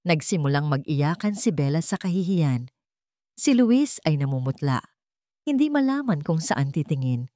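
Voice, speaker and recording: A woman reads a dramatic audio story with measured, suspenseful phrasing. Her voice sits a little low and moves widely in pitch. The recording is dry and close. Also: big pitch swings, warm tone, no room sound. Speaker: story narrator. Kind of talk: dramatic scripted narration of a Tagalog audio drama.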